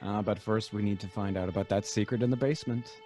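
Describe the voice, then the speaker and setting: A man's voice: on the low side, steady tone, fast talker, mellow, close to an everyday voice. An actor playing a breezy, joking young male student with a wry, jaunty manner in an old-time radio drama. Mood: wry, teasing. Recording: music under the voice.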